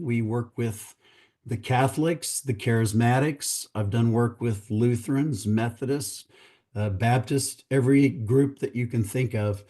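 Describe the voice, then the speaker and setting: A middle-aged man, hoarse from losing his voice, giving an earnest, conversational presentation to pastors over a video call. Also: medium pitch, dark tone. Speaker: church real estate company founder.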